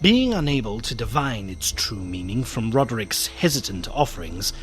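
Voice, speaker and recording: An actor playing a courteous, concerned gentleman friend who narrates a gothic radio drama in a formal, solemn manner. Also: mid-range voice, sing-song, normal speed, slight background noise. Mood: grave, foreboding.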